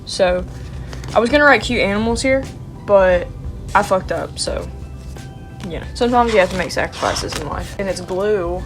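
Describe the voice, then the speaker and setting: A woman's voice: medium pitch, lively intonation, normal speed, long pauses, soft background music. A young woman in her twenties, sounding congested and sniffly, chatting casually and playfully with lots of slang while showing her sketchbook. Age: young adult. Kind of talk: casual vlog chat.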